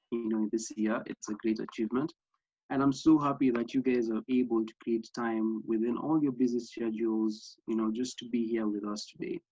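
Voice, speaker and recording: A man's voice: mid-range voice, a little mellow, no echo. A young man from Kenya with a Kenyan accent, earnestly presenting an online workshop over a video call, with many fillers and restarts. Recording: slightly dull lo-fi sound.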